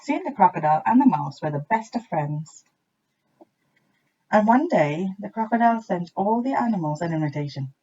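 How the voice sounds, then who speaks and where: A woman reading a children's storybook aloud with expressive narration, like a teacher to young listeners. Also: mid-range voice, normal speed, breathy edge, lo-fi sound.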